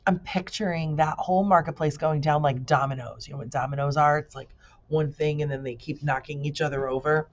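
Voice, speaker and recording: A woman working as a teacher, reading a storybook aloud to students and pausing to explain words in a casual, direct way. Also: low-pitched, fairly even intonation, slight background noise. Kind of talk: storybook read-aloud with conversational asides. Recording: dull lo-fi recording.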